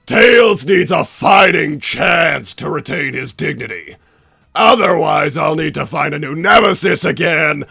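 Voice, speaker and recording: A man playing a flamboyant, excitable mad-scientist villain hosting a livestream, theatrical, exasperated and rambling, with a raspy voice. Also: a little high, a little slow, dry recording, raised voice, studio quality, soft background music, full character voice. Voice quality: gravelly, raspy. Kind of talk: improvised in-character livestream hosting.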